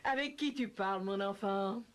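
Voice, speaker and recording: A woman's voice: medium pitch, moderate pace, noisy background, close to an everyday voice. A woman voicing an imperious, short-tempered and cruel queen in a French cartoon, with a commanding, haughty manner. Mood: disdainful. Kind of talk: French cartoon dub acting. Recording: loud music behind the voice.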